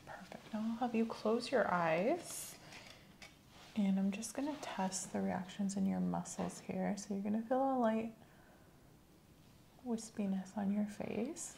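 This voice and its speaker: A young woman plays a clinical examiner in an ASMR roleplay. She gives gentle, measured instructions in a soft, creaky voice, with some room noise behind her. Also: medium pitch. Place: small room set up as an exam office.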